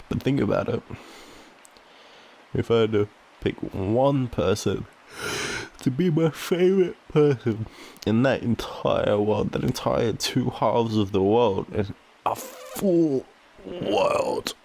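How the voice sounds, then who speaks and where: A man's voice: lowish voice, vocal fry, darkish tone, slight background noise. A tired man with an Australian accent, speaking sleepily and affectionately in an intimate bedtime boyfriend roleplay. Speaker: audio roleplay performer playing a boyfriend.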